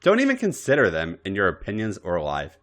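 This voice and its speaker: A man's voice: low voice, huge pitch swings, fairly quick, fairly bright tone, heavily acted. A man voicing an angry but tender wrestling boss in a romance story, with emphatic, intense delivery. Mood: indignant, protective. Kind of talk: dramatic fan fiction reading.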